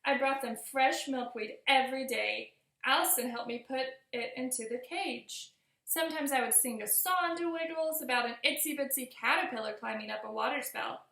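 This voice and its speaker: A woman with a high, creaky voice reads a picture book aloud to young children. The delivery is expressive storytelling, directed at the listeners. The room adds a noticeable echo. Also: normal speed. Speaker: teacher reading aloud. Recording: roomy echo.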